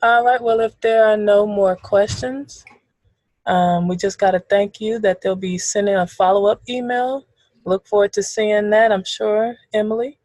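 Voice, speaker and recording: A woman's voice: mid-range voice, normal speed, no echo. Friendly woman webinar moderator, dry sound. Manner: friendly, relaxed, with fillers. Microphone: video call.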